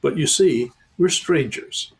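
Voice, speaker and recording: A man voicing a boy who leads his siblings, steady and decisive. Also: on the high side, takes it slowly, gravelly, pitched above the performer's own voice. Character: eldest brother, a boy taking the lead.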